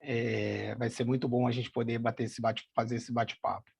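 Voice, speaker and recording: A man's voice: mid-range voice, little pitch movement, normal speed, gravelly, slightly rough. A middle-aged man from São Paulo with a Carioca accent, answering interview questions in a hesitant way with lots of fillers. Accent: Carioca (Rio de Janeiro). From São Paulo, Brazil. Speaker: guest, association president.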